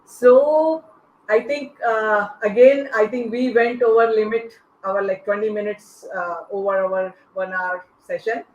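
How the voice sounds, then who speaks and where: Halting panel host on an echoey, muffled call. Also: mid-range voice, steady tone. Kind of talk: unscripted online panel moderation. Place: online video call.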